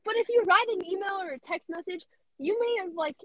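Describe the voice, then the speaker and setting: A young woman with a high, squeaky voice, chatting casually and bubbly as a podcast guest. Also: fairly quick, no echo, highs cut off. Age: young adult. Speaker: radio host guest.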